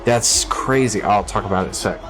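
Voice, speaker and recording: Deep-voiced man reacting casually to music. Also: brisk. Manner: informal, slangy, thinking aloud. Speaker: music reaction video host. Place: small indoor room.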